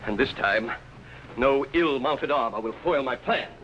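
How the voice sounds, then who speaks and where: A man's voice: medium pitch, unhurried, fairly bright tone, close to an everyday voice. An actor playing an arrogant, tyrannical medieval king with pompous, commanding delivery in an old television costume drama. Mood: angry. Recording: noisy 1950s television soundtrack.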